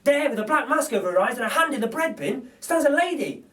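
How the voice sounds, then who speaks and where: A man narrating a children's story as an expressive storyteller. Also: extremely high pitch, fast talker. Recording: distant microphone.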